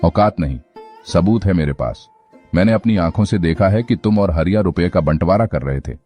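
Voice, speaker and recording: A man playing an honest, defiant young villager in a cartoon story, speaking in an accusing, forceful manner. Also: low-pitched, animated, moderate pace, breathy edge, soft background music. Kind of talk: Hindi moral-story cartoon dialogue.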